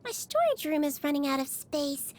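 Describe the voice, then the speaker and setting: A woman voicing a small, sweet, childlike nurse in a video game, speaking in a gentle, chatty and earnest way. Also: high voice, sing-song, moderate pace. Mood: mildly pensive. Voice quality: childlike, cutesy. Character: small sweet girlish nurse creature, caring and cheerfully earnest. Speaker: infirmary nurse. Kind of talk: video game character voice lines.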